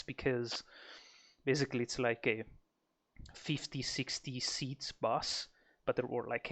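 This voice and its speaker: A man from northern Italy, chatting casually and hesitantly to his viewers during a game stream, with lots of ums and restarts. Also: medium pitch. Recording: steady background noise.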